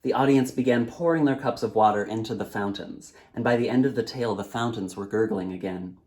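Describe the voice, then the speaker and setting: A man reading a storybook aloud as its author, in a dramatic, measured narrating style. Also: fairly low pitch, darkish tone.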